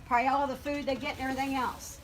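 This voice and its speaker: An older woman berates someone in a store line, her high voice sharp and coarse. She talks loudly and bluntly, recorded on a phone video in the shop. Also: little pitch movement, rapid-fire, background noise. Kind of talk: angry ranting in public.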